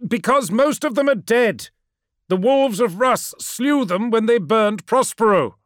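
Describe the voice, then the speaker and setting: A man's voice: a little high, lively intonation, a little slow, no room sound, studio quality, voice pushed up. A man voicing a loyal, sorrowful warrior son who speaks with earnest, deferential urgency and stubborn truthfulness in an audiobook. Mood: urgent insistence. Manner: urgent, earnest, deferential, projecting over a storm.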